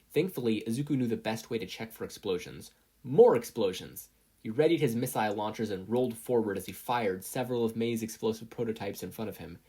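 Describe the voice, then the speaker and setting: Husky mid-pitched story narrator. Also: mellow. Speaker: podfic narrator. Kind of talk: animated scripted story narration.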